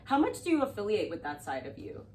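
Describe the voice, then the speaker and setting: A young woman hosts a podcast in a relaxed, chatty way, quick to laugh and full of fillers. Her voice sits at a mid pitch for a woman and sounds a little distant from the mic. Clear background noise runs underneath. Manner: chatty, upbeat, laughs easily, lots of fillers like "like". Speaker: podcast host. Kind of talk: casual conversational interview.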